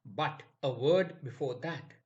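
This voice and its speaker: A man narrating a scripted English idioms lesson in a slow, measured way. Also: mid-range voice, highs cut off. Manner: slow, measured, lively intonation. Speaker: English teacher.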